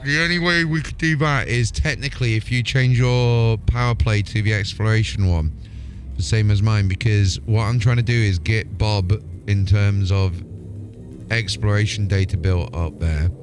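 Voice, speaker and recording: A man's voice: lowish voice, moderate pace. A man hosting a gaming livestream, chatting casually in an informal, rambling way. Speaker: game livestream host. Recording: music playing behind the voice. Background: music.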